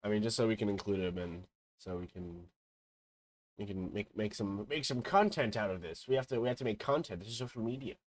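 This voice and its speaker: Man, congested, low voice, podcast host, dry close sound. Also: a little fast, no echo. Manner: relaxed, joking, with many fillers. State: congested, sick. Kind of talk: casual gaming podcast chat.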